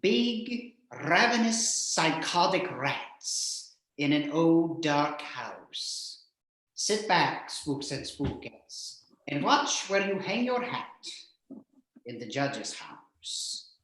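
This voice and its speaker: A vain, campy vampire count played by a man hosts a horror show in a very echoey room. The delivery is slow and melodramatic, with lively swoops in pitch. The Count relishes every spooky word. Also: a little hiss or hum, heavily acted. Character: flamboyant, vain, grudge-holding male vampire count horror host. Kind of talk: theatrical horror host narration.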